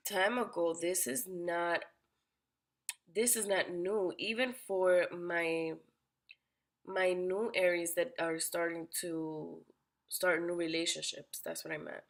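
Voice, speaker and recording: A woman giving a weekly tarot horoscope reading, direct and questioning, her voice raspy from being under the weather. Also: on the low side, steady tone, slow. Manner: direct, questioning, addresses the listener by sign. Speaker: tarot reader.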